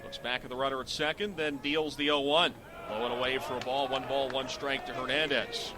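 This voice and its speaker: A middle-aged man calling baseball live on the radio as play-by-play announcer, in clipped descriptive phrases that rise into excited calls. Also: mid-range voice, brisk, noisy background. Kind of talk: live radio sports commentary.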